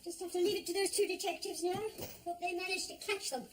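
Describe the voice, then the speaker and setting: An actress playing a naive, well-meaning housewife who talks meekly and apologetically. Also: female voice, extremely high pitch, steady tone, quick pace. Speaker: neighbour, wife. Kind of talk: sitcom acting. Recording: noisy broadcast sound.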